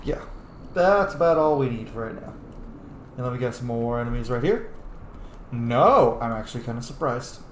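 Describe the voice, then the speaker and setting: A man's voice: medium pitch, expressive, moderate pace, pauses often, slight background noise. Man rambling through game commentary, roomy echo. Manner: rambling asides, playful exclamations to game characters. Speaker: gaming streamer and content creator. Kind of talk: casual let's play commentary. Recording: roomy echo under loud game music. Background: video game music.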